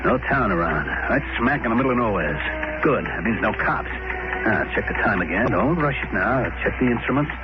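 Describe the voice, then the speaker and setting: An actor playing a ruthless, cocky escaped convict in a 1950s radio drama, with tough, slangy, bullying delivery. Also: male voice, on the low side, animated, fairly quick, natural voice. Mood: tense, self-assured. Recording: muffled 1950s radio broadcast, loud music.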